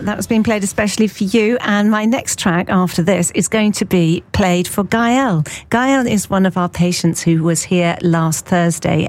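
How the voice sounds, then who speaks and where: A woman presenting a hospital radio show, chatting casually between tracks with ums and chuckles, her voice a bit croaky. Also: lowish voice. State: slightly croaky.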